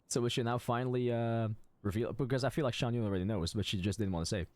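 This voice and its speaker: A man's voice: mid-range voice, fast, darkish tone. A man giving casual, wry playthrough commentary as a game streamer, thinking aloud as he goes.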